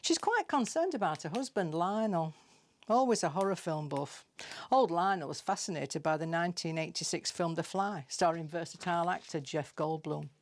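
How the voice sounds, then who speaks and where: A woman's voice: lowish voice, moderate pace. A British woman reading a scripted comic monologue in a dry, chatty, confiding manner, like gossip. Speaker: storyteller reading own comic tales.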